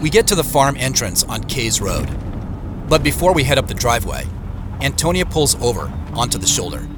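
A man's voice: medium pitch, big pitch swings, fairly quick, noisy background, natural voice. An actor playing an anxious, remorseful man caught up in a mystery in an audio drama. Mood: weary, brooding. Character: anxious, remorseful man chasing a mystery, narrating his story. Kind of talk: audio drama dialogue and first-person narration.

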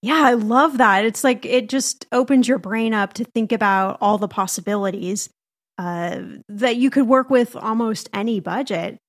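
A woman hosting an interview, affirming her guest's points and asking follow-up questions in a casual way. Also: on the high side. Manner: encouraging, quick to affirm the guest. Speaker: podcast host.

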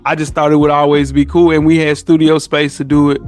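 A man's voice: on the high side, completely flat delivery, brisk, soft background music. An American man chatting casually and informally as a podcast co-host. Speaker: podcast co-host appearing as guest. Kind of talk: casual chat.

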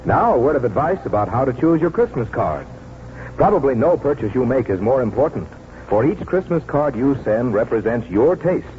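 A man's voice: medium pitch, very animated, normal speed, a little hiss or hum. Tinny 1940s radio announcer, man. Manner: polished, formal salesmanship.

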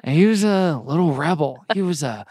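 A man's voice: a little high, expressive, brisk, mellow, dry recording, clean studio sound. A man co-hosting a podcast in a casual, irreverent, rambling style of joking banter. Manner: irreverent, mock-reverent, rambling. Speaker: podcast co-host.

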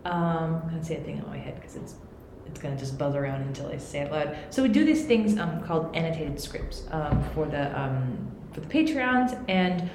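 A woman's voice: deep voice, moderate pace, hardly any pauses. A woman podcast writer on a panel, talking with enthusiasm. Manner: enthusiastic, animated. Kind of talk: panel discussion. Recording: echoey room, faint noise.

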